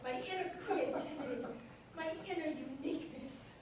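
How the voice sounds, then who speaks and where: Woman acting high-voiced, theatrical exasperated wife, echoey hall. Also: moderate pace, natural voice. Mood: exasperated, sarcastic. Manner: dramatic, emphatic, rhetorical questions. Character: mature wife, exasperated, theatrical, self-doubting would-be actress. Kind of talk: staged reading of a comic play. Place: live theater reading in an echoey room. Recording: echoey hall from far off, noisy.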